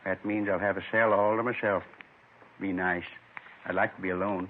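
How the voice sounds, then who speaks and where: An actor playing a weary, meek man in his seventies under arrest, halting and wistful, in a 1950s radio drama. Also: sits low, little pitch movement, a little hiss or hum, close to an everyday voice. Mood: weary, resigned. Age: 70s. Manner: halting, plain-spoken, wistful. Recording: muffled 1950s radio recording.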